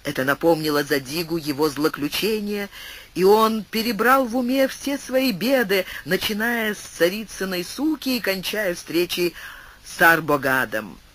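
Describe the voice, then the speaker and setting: A man narrating an audiobook in an expressive literary reading style. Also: sits high, moderate pace.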